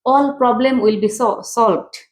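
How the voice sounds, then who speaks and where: A woman's voice: a little high, a little slow, reverberant. A woman hosting an interview, chatty and affirming, with loose, non-native grammar. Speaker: host. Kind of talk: conversational interview.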